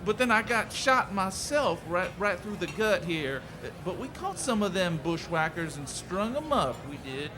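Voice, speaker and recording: Naive, scrawny drifter played by a man: high, very changeable pitch, hesitant drawl, live western radio drama. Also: very animated, measured pace, hardly any pauses. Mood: naive, unsure. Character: scrawny, simple-minded, meek male drifter, ex-Union soldier. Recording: live stage with audience noise.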